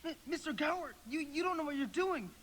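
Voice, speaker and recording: A man's voice: extremely high pitch, lively intonation, fairly quick, fairly bright tone, slight background noise. An actor playing a frightened, good-hearted young boy who stammers and pleads in a live radio play. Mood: frightened, pleading.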